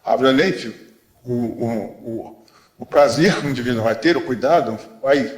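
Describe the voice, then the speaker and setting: A man's voice: medium pitch, normal speed, echoey room. A Brazilian man giving a rambling, unscripted lecture, full of asides and rhetorical questions. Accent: Brazilian Portuguese.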